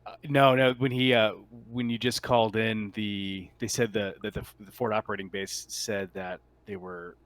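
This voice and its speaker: A man with a fairly low voice runs a tabletop roleplaying game. He explains rulings casually, halting and rewording his sentences as he goes. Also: level tone, a bit bright, dry recording. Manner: halting, repeats and rephrases. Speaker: game master.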